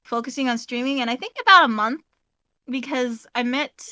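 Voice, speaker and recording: A young woman with a high voice, a streaming guest chatting casually and hedging with "like" and "I think.". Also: moderate pace, bright, forceful tone, no room sound. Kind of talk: casual interview chat.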